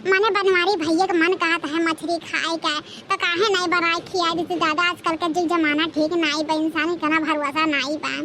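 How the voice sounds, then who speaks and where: A woman's voice: extremely high pitch, fairly even intonation, moderate pace, hardly any pauses, a crowd in the background. A woman voicing a nosy, coaxing younger village sister-in-law with an Awadhi accent, chattering repetitively in a comedy cartoon. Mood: chatty, coaxing.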